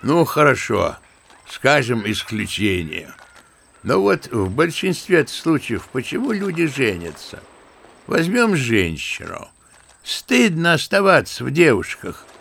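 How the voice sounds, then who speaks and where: An old-school Russian gentleman, a grandfather in an audio drama, with a heavily creaky voice and an unhurried pace. He is a talkative, disillusioned storyteller who savours each word and theatrically mimics the people he scorns. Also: lowish voice, heavily acted. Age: older.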